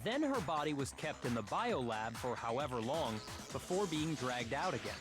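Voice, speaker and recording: A man's voice: medium pitch, very animated, normal speed, close to an everyday voice. A man voicing a skeptical, precise young male student reasoning through a trial in an amateur game dub. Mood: methodical. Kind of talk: amateur voiced reading of game dialogue. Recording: game music behind the voice.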